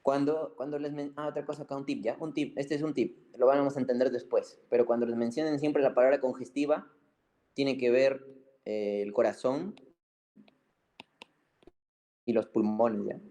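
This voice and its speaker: Mellow man teaching informally. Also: medium pitch, steady tone, normal speed, long pauses. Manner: didactic, colloquial, with frequent check-in tags and repetition. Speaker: medical physiology teacher. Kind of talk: informal explanatory lecture.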